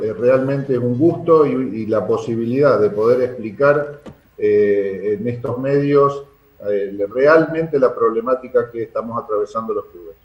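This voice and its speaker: A man with an Argentine accent giving earnest, halting explanations as an interview guest. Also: medium pitch, echoey room, lo-fi sound. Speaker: sports club secretary, interview guest.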